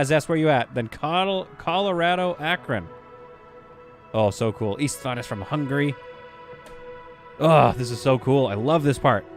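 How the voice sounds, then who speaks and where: A man streaming a game live, chatting animatedly with his viewers and laughing often. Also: medium pitch. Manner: animated, chatty, quick to laugh, reads chat aloud.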